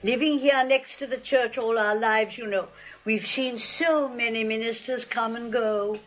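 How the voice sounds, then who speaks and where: A woman's voice: a little high, fairly even intonation, unhurried, lightly acted. A woman playing a sweet, chatty elderly spinster aunt in a comic radio play, gushing and politely hospitable. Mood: gushing, genteel. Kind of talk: radio play comedy acting. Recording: dull lo-fi radio broadcast.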